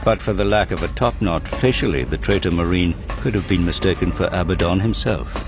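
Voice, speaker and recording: A man giving a measured, grave, scripted narration of a dramatic audio story. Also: low-pitched. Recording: music behind the voice.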